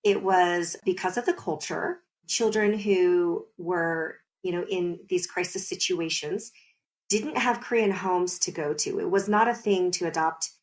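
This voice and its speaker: Animated woman telling stories. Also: fairly low pitch. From United States. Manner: animated storyteller, laughs often, explains at length. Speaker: guest. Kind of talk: conversational podcast interview.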